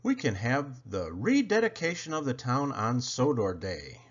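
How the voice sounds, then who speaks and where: A man's voice: mid-range voice, sing-song, a little slow, dull audio. A man voicing an authoritative, approving railway boss in a children's storybook, explaining and proclaiming. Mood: decisive. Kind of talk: children's storybook character reading.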